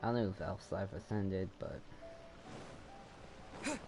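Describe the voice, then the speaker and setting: A man casually commenting on a game as he plays, thinking aloud in a halting, rambling way. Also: deep voice, creaky, over music. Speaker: gamer playing and commenting.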